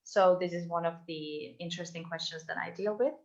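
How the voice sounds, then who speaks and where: A woman's voice: fairly low pitch, fairly quick, a little mellow. Woman speaking over lo-fi call audio. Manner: thoughtful, frequent ums and restarts. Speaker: invited speaker, doctoral researcher. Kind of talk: online talk sharing research. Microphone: video call. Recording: dull lo-fi online call audio.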